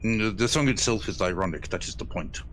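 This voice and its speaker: A tabletop player with one of the lowest voices around, talking dryly and playfully. He plans his character's moves, and his banter has a wry edge to it. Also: expressive, brisk, fairly bright tone, slight background noise. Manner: dry, playful, chuckling. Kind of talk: casual tabletop role-play.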